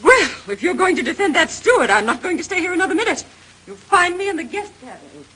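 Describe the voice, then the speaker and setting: An actress playing a brittle, easily offended society wife in an old radio mystery, with an emphatic, theatrical delivery. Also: female voice, extremely high pitch, big pitch swings, fast, pushed and bright, heavily acted. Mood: accusing, huffy. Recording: noisy old radio drama recording.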